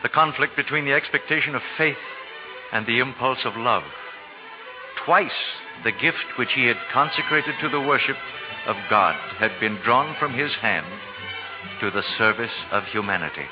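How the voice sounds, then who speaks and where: A man narrating a 1940s radio drama in a solemn, theatrical, measured way with dramatic pauses. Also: mid-range voice, animated, close to an everyday voice. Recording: muffled 1940s radio recording. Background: music.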